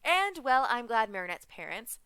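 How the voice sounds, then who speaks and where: A young woman chatting in an excited fan reaction vlog, giggling, making puns and drifting off track. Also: on the high side, moderate pace, clean tone, no echo. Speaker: vlogger reviewing a cartoon episode.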